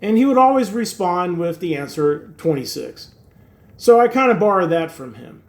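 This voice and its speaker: A man's voice: sits high, lively intonation, normal speed. A man in his sixties who grew up in Turkey and speaks Turkish natively, talking casually to camera as host of his own channel, rambling with lots of fillers and wry self-deprecating humor. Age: 60s. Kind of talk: casual talking-head monologue.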